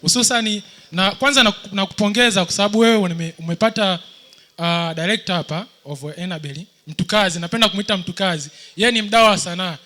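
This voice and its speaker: A man's voice: high-pitched, fast talker, sharp tone. A man in the audience putting a question to a panel at a live event. He talks at length, repeating himself and restarting his sentences. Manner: long-winded and repetitive, with many restarts. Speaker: audience member asking a question.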